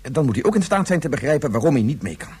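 An actor in a Dutch radio drama playing a guilt-ridden, determined scientist and father, speaking in an earnest, measured, insistent way. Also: mid-range voice, big pitch swings, darkish tone, breathy edge. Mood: exasperated.

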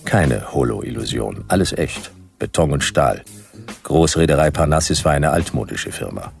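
An actor playing a grumpy, world-weary private detective, narrating a radio play in deadpan, laconic, sardonic phrases. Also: male voice, a bass voice, moderate pace, creaky, faint music behind, heavily acted.

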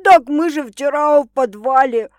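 A woman reader puts on a serious, worried man from a romance audiobook. The voice is lowered and heavy, with slow, halting phrases. The sound is dry and close. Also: dry recording, lightly acted. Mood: shocked. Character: gruff, anxious, shocked man in love, expectant father.

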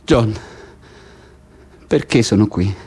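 A man's voice: fairly low pitch, takes it slowly, a little mellow, husky. An actor playing a bitter, despairing political prisoner in intense naturalistic radio theatre, insistent and repetitive as he addresses his cellmate. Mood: angry, desperate.

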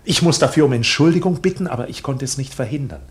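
A man acting an anxious clerk who has been arrested, in a German radio drama. His speech is formal and halting, and it swings widely in pitch as agitation breaks through. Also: expressive, close to an everyday voice. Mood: uneasy, formal.

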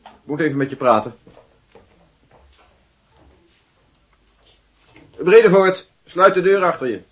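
An actor playing a stern, moralising schoolmaster in a radio play, grave and patronising. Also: male voice, on the high side, expressive, brisk, lo-fi sound. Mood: commanding.

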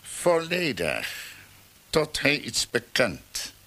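A man's voice: medium pitch, huge pitch swings, extremely slow, sharp tone, slight background noise, full character voice. An actor playing an implacable male colonel demanding obedience in a radio drama, with a commanding, emphatic delivery. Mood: stern, insistent.

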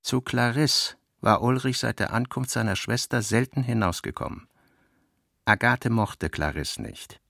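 A man with speech reads literary narration for a radio play in a measured, articulate manner. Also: medium pitch, creaky, sharp tone, no room sound, clean studio sound.

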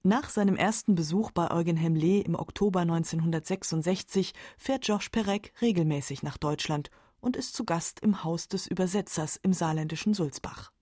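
A woman narrating a scripted radio documentary in a measured, matter-of-fact way. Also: fairly low pitch, darkish tone, no room sound, clean studio sound.

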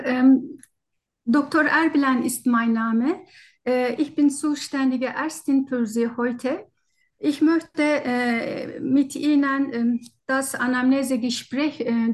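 A high-pitched woman speaks German with a foreign accent. She talks slowly and formally as a doctor questioning a patient, hesitant and searching for each word. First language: not German. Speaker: doctor taking a patient history in exam practice. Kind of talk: halting structured medical interview in role-play.

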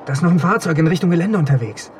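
An actor playing a nervous, hesitant young accomplice in a naturalistic radio drama, stammering anxiously. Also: male voice, a little high, lively intonation, racing, a little hiss or hum, lightly acted. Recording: music behind the voice.

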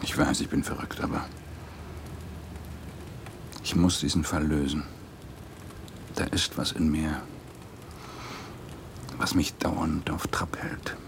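An actor playing an exhausted, obsessed police detective, intimate and brooding, in a naturalistic radio drama. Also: male voice, extremely low pitch, steady tone, slow pace, long pauses, exaggerated voice. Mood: subdued, earnest. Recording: clear rain and ambience noise.

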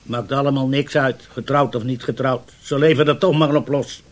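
An actor playing a harsh, contemptuous, sanctimonious religious brother in a Dutch radio drama, blunt and needling. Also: male voice, medium pitch, steady tone, moderate pace, lightly acted. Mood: mocking.

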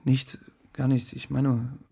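An actor playing a desperate, impulsive young male lover in a radio drama, husky and agitated. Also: mid-range voice, flat delivery, slow, dark tone, exaggerated voice. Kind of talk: intimate modern German radio drama acting.

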